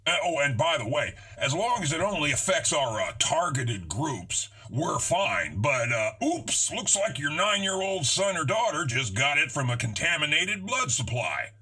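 A man's voice: fairly high pitch, big pitch swings, a little slow, hardly any pauses, bright, forceful tone, slightly rough. An American man co-hosting a podcast in casual banter, with a sardonic, folksy manner. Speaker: podcast co-host.

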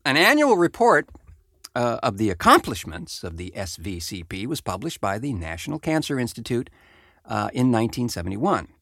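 Wry American man with animated, swooping delivery. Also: mid-range voice, normal speed, gravelly, no echo, studio quality. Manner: wry, sardonic asides. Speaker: podcast host. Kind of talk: reading a research report aloud with conversational asides.

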